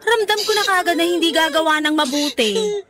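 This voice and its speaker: A woman's voice: extremely high pitch, expressive, brisk, music in the background. A woman acting a frank, protective young best friend in a Tagalog radio drama, emphatic and reproving. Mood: exasperated.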